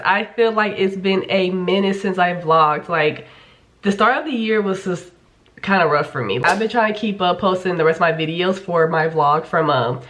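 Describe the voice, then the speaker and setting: A woman's voice: on the low side, fairly bright tone. A woman in her twenties from Kansas City, Kansas, chatting candidly as a vlogger, a little nasal from a cold, with casual swearing and lots of fillers. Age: 20s. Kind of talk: casual get-to-know-me vlog.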